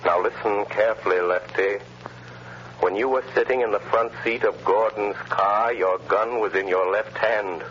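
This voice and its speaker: An actor playing a mysterious male avenger in a 1930s radio drama who taunts a crook with slow, deliberate menace. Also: lowish voice, steady tone, fairly bright tone, natural voice. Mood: menacing. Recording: dull, faintly hissy 1930s radio transcription.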